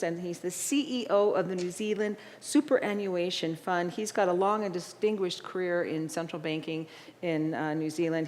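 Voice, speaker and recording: A woman moderating a public panel, chatting easily through her introductions with a few fillers. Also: fairly low pitch. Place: large event hall. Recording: long hall reverb.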